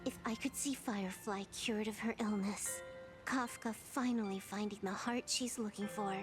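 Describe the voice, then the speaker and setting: An actress voicing a sharp-tongued young woman in a video game, proud and wry yet guarded about her soft heart. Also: mid-range voice, steady tone, normal speed, over music, natural voice. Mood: wistful, resigned. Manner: wry, teasing, emotionally guarded. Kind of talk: scripted video game voice acting.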